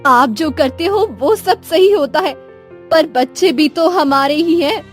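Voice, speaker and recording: Woman as a high-voiced worried village mother. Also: expressive, a little slow. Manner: plaintive, gently reproachful. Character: worried, tender poor village wife and mother. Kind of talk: acted Hindi moral storybook tale. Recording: music behind the voice.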